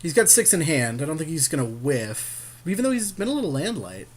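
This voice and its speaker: A man's voice: a little high, very animated, a little fast. A man co-hosting a game session in casual chat, readily agreeing with and affirming his partner's suggestions. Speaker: podcast co-host and card game player.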